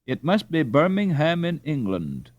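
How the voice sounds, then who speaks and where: A man's voice: fairly high pitch, wildly swooping pitch, a little slow, no echo, highs cut off, voice pushed up. A man voicing a confident young man in a storybook, self-assured yet hesitant as he speaks.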